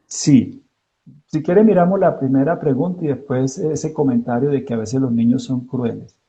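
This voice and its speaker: A man who is a psychologist, speaking slowly and reflectively on a live online call, with many hesitant fillers. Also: mid-range voice, warm tone, low-quality recording. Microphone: video call.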